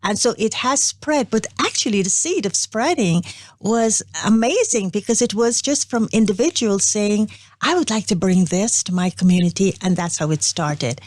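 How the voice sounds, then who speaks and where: An older woman from Guyana, a spiritual organization's representative, telling her story in a measured, unhurried interview. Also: medium pitch, a little mellow, dry recording, clean studio sound. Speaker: interview guest, Brahma Kumaris representative. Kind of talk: reflective interview talk.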